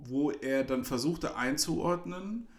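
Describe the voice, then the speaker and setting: A man's voice: a little high, lively intonation, takes it slowly. A middle-aged man chatting casually and telling a story, hesitant with many fillers and restarts. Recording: roomy echo.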